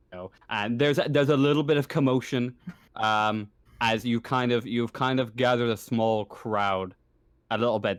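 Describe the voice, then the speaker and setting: A man narrating a tabletop roleplaying game as game master, improvising in a halting way and often rephrasing himself. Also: lowish voice, no room sound.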